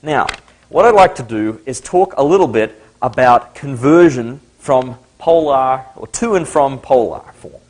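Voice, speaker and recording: A man's voice: medium pitch, animated, measured pace, darkish tone. Middle-aged Australian male lecturer, conversational, thinks aloud at the board. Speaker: maths lecturer. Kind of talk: live classroom lecture.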